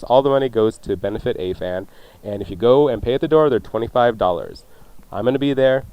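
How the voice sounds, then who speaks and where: A man's voice: fairly low pitch, darkish tone, smooth, no echo. A man co-hosting a radio show in casual, chatty banter, explaining things in a breezy way.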